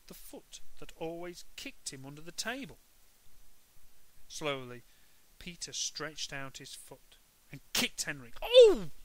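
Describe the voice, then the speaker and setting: A man narrating a children's story with playful, expressive delivery. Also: on the high side, poor audio.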